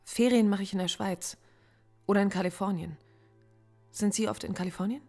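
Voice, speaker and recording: An actress playing a philosophy professor under interrogation in a radio play, answering in short, cool, guarded replies. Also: female voice, medium pitch, normal speed, a little mellow, faint music behind, lightly acted. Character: composed female philosophy professor, stubborn and proud. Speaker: suspect in interrogation.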